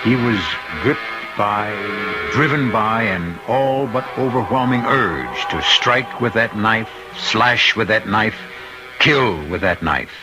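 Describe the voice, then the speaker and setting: A man narrating a radio mystery as its host, grave and ominous, with deliberate, suspenseful phrasing. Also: lowish voice, expressive. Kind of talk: dramatic scripted radio narration. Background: music.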